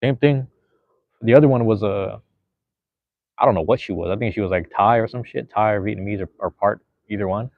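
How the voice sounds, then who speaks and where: A man's voice: lowish voice, a little fast, vocal fry, no room sound, phone-quality sound. A man in his thirties chatting casually as a podcast guest, rambling and slangy, swearing freely. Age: 30s. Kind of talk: casual chat.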